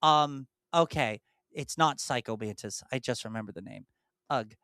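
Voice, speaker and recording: A woman's voice: rumbling low, normal speed, a bit bright, no room sound, clean studio sound. A woman co-hosting a casual podcast, thinking aloud, repeating clues and poking fun at herself as she guesses. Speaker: podcast co-host. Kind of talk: casual chat.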